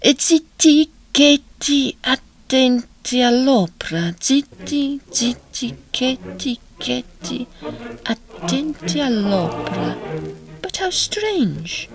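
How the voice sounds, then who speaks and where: High-voiced genteel young Victorian lady. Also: very animated, slow, full character voice, low-quality recording. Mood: obliging, puzzled. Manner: polite, genteel. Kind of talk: period audio drama acting. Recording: music behind the voice.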